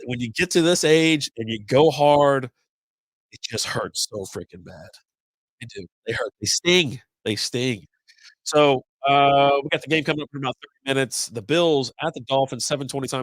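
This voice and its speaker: A middle-aged man hosting a beer podcast live stream, congested from a cold with a slightly raspy voice, rambling along in loose casual chat with some mild swearing. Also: medium pitch, a bit bright, no room sound, low-quality recording.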